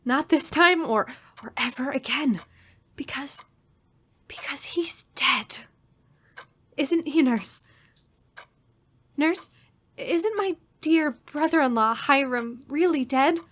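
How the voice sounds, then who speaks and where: An actress as a fragile, unhinged woman in an old-style radio horror play. Her voice is very high and she speaks slowly and theatrically, as if fear and madness sit close together. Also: expressive, fairly bright tone, exaggerated voice. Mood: gloating, unhinged. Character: fearful, unhinged woman diarist who killed her brother-in-law. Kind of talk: old-time radio horror drama acting.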